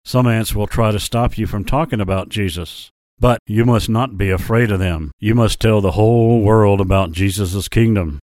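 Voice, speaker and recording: A man voicing a big, kindly male lion in a children's story, speaking in slow, earnest warnings. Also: on the low side, darkish tone, no room sound, natural voice. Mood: gentle solemn. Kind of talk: storybook character voice.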